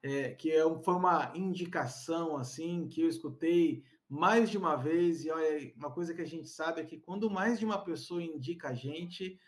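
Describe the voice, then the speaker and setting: Guy hosting a church podcast, kinda high voice, upbeat and chatty. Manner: upbeat, welcoming, asks questions. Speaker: podcast host. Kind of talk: casual chat.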